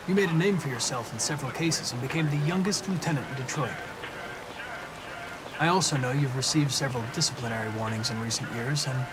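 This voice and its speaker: A man's voice: a little high, background noise, close to an everyday voice. A man playing a polite young android detective in a video game, speaking formally and precisely in an earnest, analytical way.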